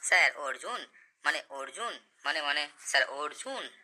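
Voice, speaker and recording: A man's voice: fairly high pitch, animated, normal speed, bright, forceful tone, slight background noise. Man as a cheeky, piercing cartoon schoolboy. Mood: flustered. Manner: over-confident, pleading, exaggerated. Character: cheeky, boastful, dim-witted village schoolboy. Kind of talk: comic cartoon voice acting.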